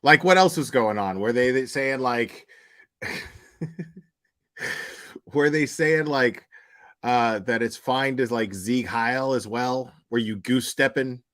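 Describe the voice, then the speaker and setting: A man's voice: medium pitch, takes it slowly, long pauses, fairly bright tone. An American man giving casual, slangy live reaction commentary in a mocking, informal way as a streamer.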